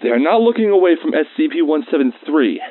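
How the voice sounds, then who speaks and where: A man's voice: on the high side, very animated, fast talker, no room sound. Actor as male researcher on tinny radio log. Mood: excited, relieved. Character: male facility researcher logging notes, fond of creatures. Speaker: researcher recording a personal log. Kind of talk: scripted audio diary narration, semi-formal. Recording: dry, thin phone-like radio sound.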